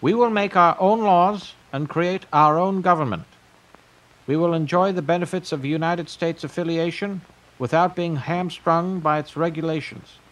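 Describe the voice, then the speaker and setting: A high-pitched old tycoon, played by an actor, makes a grand prepared speech in an audio drama. He is formal and self-important, addressing his listeners like an orator. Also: moderate pace, a bit bright, natural voice, poor audio. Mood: solemn, grandiose. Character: grandiose old billionaire in a recorded message.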